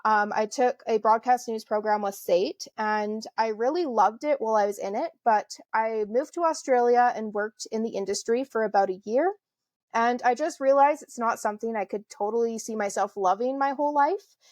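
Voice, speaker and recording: A young woman from Canada chats as a podcast guest, friendly and easygoing, with lots of fillers and soft laughs. Her voice sits slightly high, and the recording is dry and close, with no room echo. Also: moderate pace. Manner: friendly, chatty, frequent fillers and light laughs. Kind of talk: casual interview conversation.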